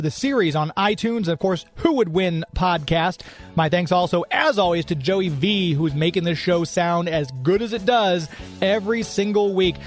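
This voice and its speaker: A man hosting a radio interview show in an upbeat, friendly and encouraging way. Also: high-pitched.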